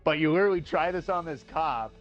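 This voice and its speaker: A man's voice: on the high side, fairly quick, muffled recording, soft background music. Profane ranting man on a stream. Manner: ranting, profane, many fillers and restarts. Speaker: co-host. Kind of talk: casual gaming stream chat.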